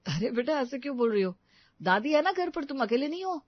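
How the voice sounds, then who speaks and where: A woman's voice: high-pitched, big pitch swings, brisk, dry recording, lo-fi sound, voice pushed up. Woman playing a soothing, placating young mother.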